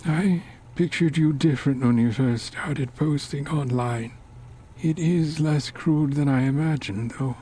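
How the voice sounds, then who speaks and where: Guy doing a creepy polite older cult man for horror story, slow n soft-toned, talks down to you, bit of noise. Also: fairly high pitch, pitched above the performer's own voice, close to an everyday voice. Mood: coolly curious. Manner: measured, polite, condescending, lecturing. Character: genteel, sinister older male occultist with walking cane. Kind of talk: horror story character dialogue.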